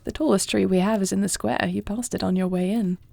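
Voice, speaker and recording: A young dragon queen played by a woman speaks in a dark, mellow voice with a touch of fry. She is compassionate and regal, formal in her phrasing yet softly intimate. It is fantasy audio roleplay, recorded close and dry. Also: medium pitch, steady tone, fast, no echo. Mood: curious, warm. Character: compassionate young dragon queen, self-doubting warrior bride.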